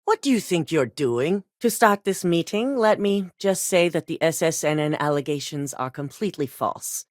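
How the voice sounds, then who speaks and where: Cool, authoritative game megacorp CEO, lowish lively voice, dry studio read. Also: female voice, no echo. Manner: curt, authoritative, businesslike. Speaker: corporate CEO. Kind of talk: video game voice acting.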